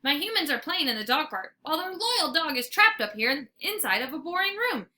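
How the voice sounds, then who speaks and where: Storybook dog played by a woman: young, excitable, dramatic, pleading, with big animated swings in pitch. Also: a little fast, heavily acted. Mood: indignant. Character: eager, excitable, needy young male pet dog.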